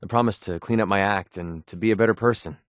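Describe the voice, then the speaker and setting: An actor voicing a wry, prickly young mercenary sniper with a hidden soft side in a video game, sarcastic and conversational. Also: male voice, fairly low pitch, fast, no echo, clean studio sound, natural voice. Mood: subdued, sincere. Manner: sarcastic, conversational, emotionally expressive. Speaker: video game companion character. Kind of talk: naturalistic video game voice acting.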